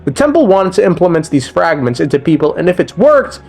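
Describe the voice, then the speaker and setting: A man's voice: fairly high pitch, very animated, brisk, creaky, darkish tone, breathy edge. A man narrating a video game story recap in a casual, scripted way, with slangy, irreverent asides.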